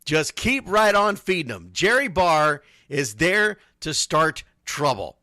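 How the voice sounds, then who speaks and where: A man's voice: on the high side, very animated, slow, sharp tone, no echo, studio quality. A man hosting a casual sports talk radio show, speaking emphatically and repeating phrases to drive points home.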